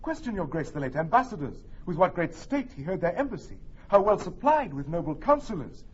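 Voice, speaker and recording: An actor playing an earnest, cautionary French noble lord, insistent and emphatic, in a classical radio drama. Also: male voice, high-pitched, very animated, brisk, slightly rough, exaggerated voice. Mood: urgent caution. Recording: dull old radio recording.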